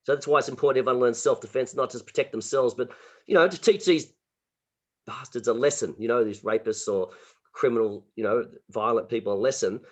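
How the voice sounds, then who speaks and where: An Australian man, a martial arts instructor, telling stories in a relaxed interview over a video call, full of sound effects and restarts. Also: mid-range voice, fairly quick. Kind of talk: casual interview storytelling.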